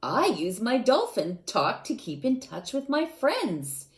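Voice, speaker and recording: A playful storybook dolphin, put on by a woman reading aloud, chatters in bright squeaks, whistles and rapid clicks. The voice leaps high and swoops widely. The reading is unhurried. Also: wildly swooping pitch, exaggerated voice.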